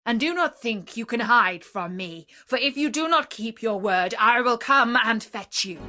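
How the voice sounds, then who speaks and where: A woman's voice: a little high, animated, measured pace, pushed and bright, faint music behind. A woman voicing a huge, furious male beast who roars threats in a terrible voice. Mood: commanding. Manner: roaring, threatening.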